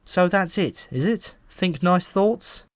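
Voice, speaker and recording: A man's voice: sits high, lively intonation, slow pace, no room sound, studio quality, pitched above the performer's own voice. A man voicing an irritable, insecure ordinary modern man in an audiobook, testy, incredulous and full of questions. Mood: doubtful. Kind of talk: audiobook character voice.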